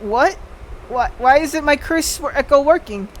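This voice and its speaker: A game streamer voicing a desperate male villain, with loud, dramatic exclamations. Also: high voice, expressive, unhurried, pitched above the performer's own voice, poor audio. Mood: panicked.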